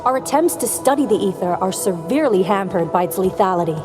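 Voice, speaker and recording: A woman's voice: mid-range voice, lively intonation, fast, close to an everyday voice. Woman playing stern businesslike female director, game cutscene, formal, matter-of-fact, long reverb, music. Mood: skeptical, grave. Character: serious, businesslike female director, brisk and authoritative. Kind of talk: video game character acting.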